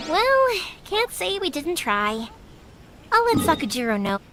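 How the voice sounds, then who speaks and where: A woman playing a cheerful, warm-hearted young fireworks maker in a video game, casual and earnest. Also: extremely high pitch, big pitch swings, takes it slowly, noisy background, full character voice. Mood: thoughtful, resolved.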